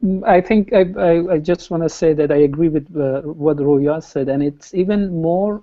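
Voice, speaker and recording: Man from Iran, halting speech, dark mellow tone, dry muffled call audio. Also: a little high, no echo. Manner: hesitant, many fillers and restarts. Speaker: panel guest writer. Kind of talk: video panel discussion. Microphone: video call.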